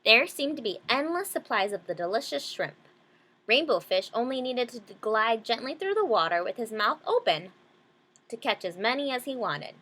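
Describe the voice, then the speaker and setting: A woman's voice: fairly high pitch, sing-song, normal speed, bright, forceful tone. A woman reading a children's storybook aloud, adding simple explanations for young listeners. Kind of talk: children's picture-book reading with simple asides.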